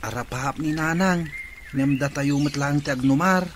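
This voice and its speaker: An upbeat, determined teenage brother acted by a man in a radio drama. The voice is on the high side, with faint ambient noise in the recording. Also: moderate pace, lightly acted. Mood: upbeat, admiring. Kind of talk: Ilocano radio drama acting.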